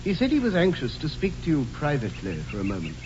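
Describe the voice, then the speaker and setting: An actor playing an impassive, deferential English butler in a 1940s radio comedy, speaking in a measured way. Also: male voice, a little high, huge pitch swings, a little mellow. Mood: grave deference. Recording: dull, noisy 1940s radio broadcast.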